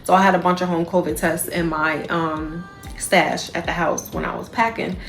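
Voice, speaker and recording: A rambling, chatty woman vlogs from a hotel room, her fairly low voice carrying a gravelly edge. Music plays steadily underneath her words, and she talks loosely and casually. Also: level tone, normal speed, a bit bright. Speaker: travel nurse. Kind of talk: casual vlog diary.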